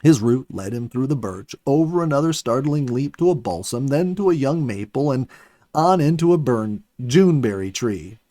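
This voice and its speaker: Animated male storyteller, very lively pitch. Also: moderate pace, no echo. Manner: dramatic, animated delivery with emphatic swells and hushed endings. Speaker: story reader, host of a children's story program. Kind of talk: expressive scripted storytelling, audiobook narration. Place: dry, close studio recording.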